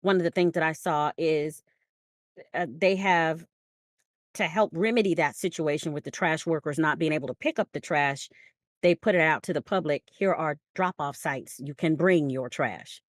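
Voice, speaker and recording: American female podcast co-host, notably low voice, close dry sound, emphatic conversational storyteller. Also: moderate pace, no room sound. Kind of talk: conversational discussion.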